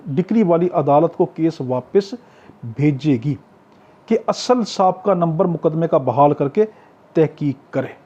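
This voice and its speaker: A man's voice: fairly high pitch, mellow, slightly rough. A man with a Pakistani accent lecturing as a law tutor, explaining point by point, posing questions and answering them himself with much repetition. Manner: didactic, poses questions then answers them, repeats key points. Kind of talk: exam-prep lecture, explaining statutes point by point. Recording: dull, lo-fi, muffled sound.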